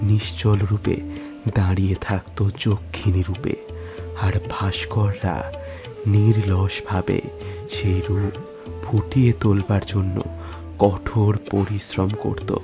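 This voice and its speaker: A man reads a literary tale as an audio story narrator. His voice sits fairly low and he takes it very slowly, setting phrases apart with solemn, deliberate pauses. Instrumental music plays clearly behind him. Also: flat delivery. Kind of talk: scripted dramatic literary storytelling. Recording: music under the voice.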